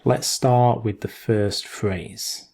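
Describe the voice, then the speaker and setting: A man guides a meditation in a soft-edged, mellow voice with a gravelly creak. He gives gentle instructions very slowly, in short phrases with long pauses between them. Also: fairly low pitch, steady tone.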